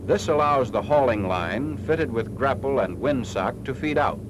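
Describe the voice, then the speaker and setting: A man's voice: medium pitch, expressive, a little slow, muffled recording. Noisy 1940s film narrator, American man. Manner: formal, clipped, instructional. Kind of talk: 1940s military training film scripted narration. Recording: noisy 1940s film soundtrack, engine-like hum.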